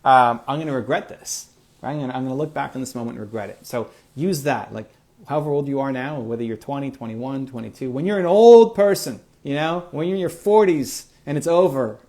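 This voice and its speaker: A man in his forties hosts a live motivational stream, answering viewer questions one after another. He speaks at a middling pitch in an energetic, encouraging, off-the-cuff way, full of casual asides. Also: a little fast, creaky. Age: 40s. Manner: energetic, encouraging, conversational with fillers. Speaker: motivational livestream host.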